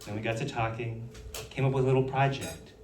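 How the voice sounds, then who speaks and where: A man's voice: mid-range voice, steady tone, brisk, darkish tone, slight background noise. A man performing a stand-up comedy set on stage, delivering his jokes with dry sarcasm. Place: on stage in a hall. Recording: roomy hall, picked up from a distance.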